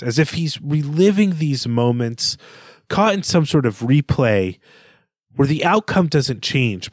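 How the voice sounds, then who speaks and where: A man's voice: mid-range voice, no echo. Reflective man discussing books, dry sound. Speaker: podcast co-host. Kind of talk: literary discussion.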